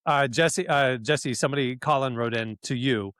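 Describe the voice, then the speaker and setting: A man hosting a podcast, reading listener questions in a relaxed, chatty, joking way. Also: mid-range voice, normal speed, clear, resonant tone, dry recording. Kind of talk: casual chat.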